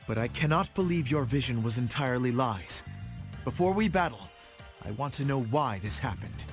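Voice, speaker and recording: Righteous young game knight played by a man, formal and solemn, middle pitch, music underneath. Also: lively intonation, lightly acted. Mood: stern resolve. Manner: formal, solemn, measured diction. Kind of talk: video game voice acting.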